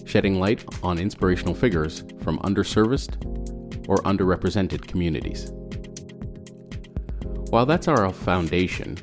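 A man's voice: low voice, lively intonation, normal speed, pauses often. A man from Malawi whose first language is Chichewa, hosting a podcast in a scripted narration with playful asides. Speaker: podcast host. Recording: music bed under the voice.

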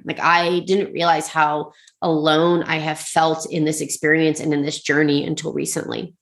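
Congested, lower-pitched woman chatting casually. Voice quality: slightly congested, clogged-up nose. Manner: informal, reflective, frequent "like". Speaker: host sharing personal advice and resources. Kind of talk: casual, confessional solo talk.

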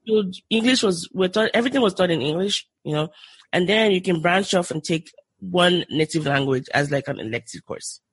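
A woman's voice: lowish voice, expressive, brisk, husky, no room sound. A Nigerian woman with a Nigerian accent, a podcast guest talking eagerly in casual chat, often restarting her sentences. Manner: enthusiastic, with frequent restarts.

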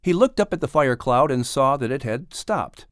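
A man reading a children's adventure audiobook as narrator, in an expressive scripted storytelling style. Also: medium pitch, normal speed, dry recording, clean studio sound.

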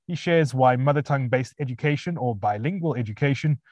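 Man, interview host, reads prepared intro, asks questions with pauses, dry close mic. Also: mid-range voice, a little mellow, no room sound. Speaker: podcast host.

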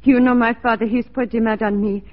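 A woman's voice: on the high side, steady tone, brisk, close to an everyday voice. An actress playing a jealous, lovestruck young frontier woman in broken English, pleading and goading in 1940s radio style. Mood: passionate, pleading. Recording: tinny, band-limited 1940s radio recording.

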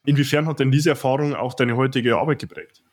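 A man's voice: mid-range voice, quick pace. A man hosting a podcast interview, speaking in long, wordy questions with fillers. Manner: wordy, many repeated "auch" and fillers. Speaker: podcast host, personal trainer.

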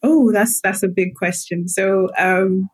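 A woman from Malawi, a guest health psychologist who thinks aloud with pauses as she answers about herself. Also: mid-range voice, steady tone, slightly rough. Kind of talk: reflective personal interview answers.

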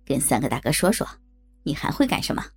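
A woman's voice: low voice, big pitch swings, fast talker, darkish tone, slightly rough, faint music behind, voice pushed down, full character voice. A woman voicing a smug, jovial male boss who talks in a casual, commanding, colloquial way.

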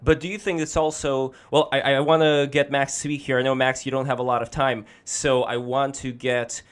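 Smooth-voiced male podcast host. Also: mid-range voice, little pitch movement, fairly quick. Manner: hesitant, many fillers. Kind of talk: casual panel discussion.

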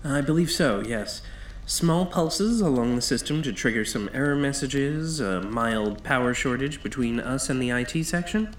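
A man's voice: mid-range voice, expressive, normal speed, slight background noise, natural voice. A man playing an uneasy, formal novice accomplice in an audio drama, with hesitant and stiffly proper phrasing. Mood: hesitant, nervous. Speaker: voice actor in a fiction podcast. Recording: distant, echoey, music underneath.

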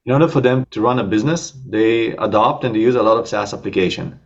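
A man's voice: lowish voice, fast, vocal fry, dull audio. A man with an Israeli accent, a startup co-founder, talking casually in an interview over a video call, with frequent fillers.

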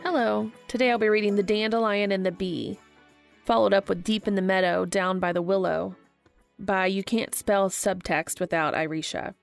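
A woman's voice: mid-range voice, steady tone, normal speed, vocal fry. A woman hosting and reading stories aloud in scripted storybook narration, with music behind her. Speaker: podcast host and fan fiction reader.

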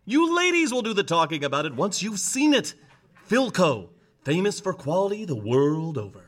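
An actor playing an upbeat, folksy 1950s radio announcer delivering an emphatic sponsor's commercial, live on stage. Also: male voice, high-pitched, very animated, normal speed, fairly bright tone. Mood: upbeat selling. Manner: salesmanlike, emphatic.

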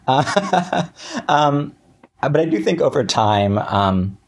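A man being interviewed as a writer, chatting casually in a wry, halting way with self-interruptions and acted-out asides. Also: on the high side, very animated, a little hiss or hum.

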